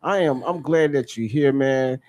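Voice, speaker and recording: A man's voice: medium pitch, a little slow, darkish tone, no room sound. An American man hosting a casual radio interview, talking in an informal, slangy way and often repeating his phrases. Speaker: radio show host.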